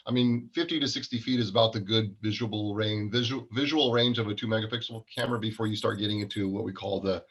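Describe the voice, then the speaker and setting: A man's voice: lowish voice, fairly even intonation, quick pace, few pauses, breathy edge. A man giving an informal product presentation in an explanatory, technical manner.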